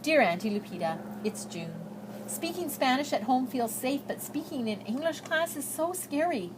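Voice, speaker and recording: A woman's voice: high-pitched, animated, normal speed, noisy background, pitched above the performer's own voice. Earnest young girl read by a woman, lilting. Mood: earnest, hopeful. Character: earnest, shy, hopeful immigrant girl writing letters.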